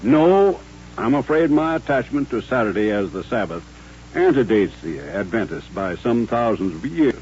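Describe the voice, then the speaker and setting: A man acting in an old-time radio drama as a courtly, dignified colonel of mature years, with formal, measured speech. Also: on the low side, big pitch swings, a little mellow. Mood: grave, dignified. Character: courtly, dignified older Southern colonel turned rancher. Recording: muffled old radio recording with hum.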